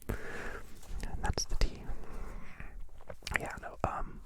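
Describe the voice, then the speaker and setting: A young man hosting an ASMR livestream in a close-miked whisper, chatting playfully and joking with viewers. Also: extremely slow. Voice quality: raspy when soft speaking. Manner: playful, joking, answering chat questions.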